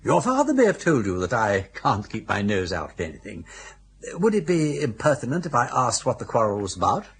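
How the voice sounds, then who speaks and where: An actor playing a jaunty, meddling older English gentleman with husky, breezy upper-class banter in a radio drama. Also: medium pitch, wildly swooping pitch, brisk. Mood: inquisitive, amused.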